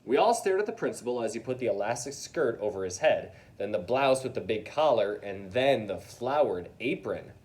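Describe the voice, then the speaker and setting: A man's voice: medium pitch, animated, moderate pace, few pauses, a little mellow. A man narrates a children's story as a read-aloud, dropping in casual asides to the kids. His mid-range voice swings widely and expressively.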